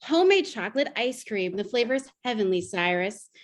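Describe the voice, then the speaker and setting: A woman's voice: mid-range voice, sing-song, a little fast, close to an everyday voice. A woman playing a sweetly doting wife on a call in an old-time radio drama. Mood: sweet, coaxing.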